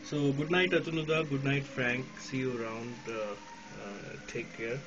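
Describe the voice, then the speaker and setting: A man's voice: mid-range voice, normal speed, muffled recording, music in the background. A man with an Indian accent, chatting casually as a ham radio operator, laughing often, outdoors at night in the rain.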